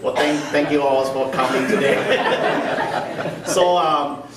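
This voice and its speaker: A man's voice: fairly high pitch, steady tone, slow pace. Echoey, distant man with Thai accent. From northern Thailand. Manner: self-deprecating, humorous. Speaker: guest speaker, restaurant owner. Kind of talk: informal talk to a small group. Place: indoor meetup room. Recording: echoey room, distant mic, faint noise.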